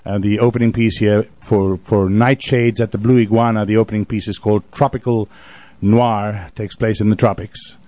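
A man's voice: lowish voice, fairly even intonation, moderate pace, mellow, no echo. A man hosting a talk radio show, introducing the program and addressing his listeners from a studio.

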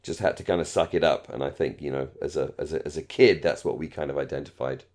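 Low-voiced British man chatting casually. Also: fairly even intonation, fast talker, a little mellow. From Britain. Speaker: podcast co-host. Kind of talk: casual discussion.

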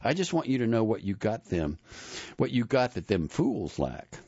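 A man voicing a sly, showy, smooth-talking middle-aged man with a boastful drawl. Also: fairly low pitch, sing-song, moderate pace, a little mellow, no room sound, highs cut off, pitched above the performer's own voice, heavily acted.

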